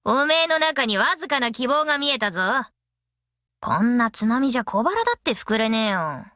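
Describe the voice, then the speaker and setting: A woman voicing a brash, easygoing, long-lived female master metalsmith in an anime game, with rough, cocky, tomboyish speech. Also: a little high, animated, normal speed, pushed and bright, no room sound, studio quality. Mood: cocky, scolding. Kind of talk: anime mobile game character voice lines.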